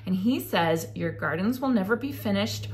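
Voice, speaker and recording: A woman's voice: animated, moderate pace, low-quality recording. A woman in her thirties hosting a gardening show from her home office, chatting casually and gushing over viewers' gardens. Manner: gushing, enthusiastic, exclamations with rising pitch. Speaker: gardening channel host. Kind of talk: casual chat reading viewer submissions.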